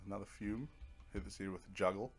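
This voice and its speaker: A man's voice: fairly low pitch, fairly even intonation, fast talker, soft background music. A man giving casual, rambling let's play commentary, thinking aloud as he goes. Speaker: gamer narrating own playthrough.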